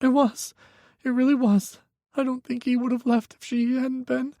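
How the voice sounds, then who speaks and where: A man's voice: very high-pitched, level tone, normal speed, creaky, mellow, no room sound, pitched above the performer's own voice. A man voicing a timid, frightened young man who sounds tearful and shaky. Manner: trembling, tearful.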